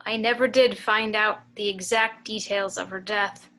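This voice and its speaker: A woman playing a haunted storyteller, grave and hushed, recalling a sinister childhood in a table read. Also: medium pitch, fairly even intonation, moderate pace, close to an everyday voice, poor audio. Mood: reflective. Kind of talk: dramatic monologue in amateur table read.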